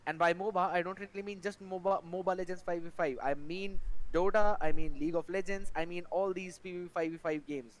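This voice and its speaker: A man from India making his case in a gaming panel discussion, argumentative and animated. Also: high-pitched, a little fast, low-quality recording.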